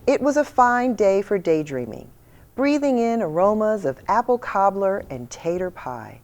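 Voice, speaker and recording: Expressive woman reading a children's storybook. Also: mid-range voice, a little slow. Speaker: reader of a children's picture book. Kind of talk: storybook read-aloud narration.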